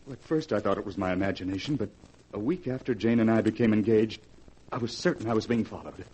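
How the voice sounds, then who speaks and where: Low-voiced, haunted, bitter husband. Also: male voice, quick pace, darkish tone, breathy edge, rough recording. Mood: haunted, brooding. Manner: grave, dramatic. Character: haunted, bitter husband, desperate to escape his wife. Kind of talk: 1940s radio horror acting. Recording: hissy vintage 1940s radio recording.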